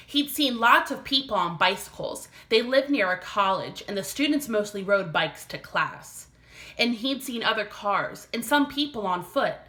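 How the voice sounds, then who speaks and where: A woman reads a children's story like a teacher to young pupils. Her voice is bright and medium-pitched, and her phrasing is careful and expressive. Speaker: elementary teacher reading aloud. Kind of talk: expressive scripted storybook narration.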